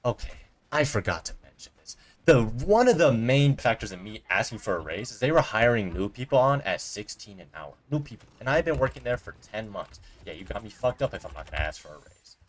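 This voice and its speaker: A young man from Colorado with a slightly low voice tells a story straight to camera in a loose, profane, slangy way. He sounds like a home vlogger talking into a cheap desk mic propped up on a jar. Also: big pitch swings, a little fast, few pauses. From Colorado, USA. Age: young adult. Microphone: cheap desk mic resting on a coin jar.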